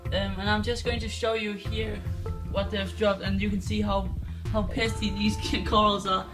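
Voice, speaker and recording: Flat-voiced young man with Danish accent. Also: high-pitched, normal speed. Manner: rambling, full of ums and restarts. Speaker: aquarium hobbyist vlogger. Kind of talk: casual show-and-tell vlog. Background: music.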